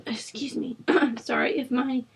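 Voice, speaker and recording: A young woman host rambling casually over a game build, her voice rough from a sore throat, with lots of fillers. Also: sits high, fairly even intonation, unhurried, a little hiss or hum. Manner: rambling, chatty, with frequent fillers. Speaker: Sims builder channel host. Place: at home.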